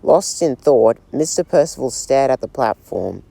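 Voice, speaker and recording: A man narrating a railway storybook as a scripted read, in a slow, gentle storytelling manner. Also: mid-range voice, lively intonation, creaky, dry recording.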